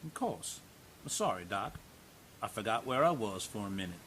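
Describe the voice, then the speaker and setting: Male lead, wry narrator-investigator, lively delivery, light hiss. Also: on the low side, moderate pace. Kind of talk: radio drama narration and acting.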